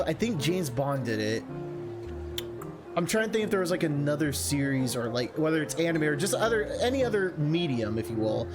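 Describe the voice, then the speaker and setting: A man hosting a casual, chatty let's-play, rambling and interrupting himself with enthusiastic asides. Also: on the high side. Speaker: video game streamer and host. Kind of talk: casual, chatty let's-play commentary with some read-aloud game text. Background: game background music.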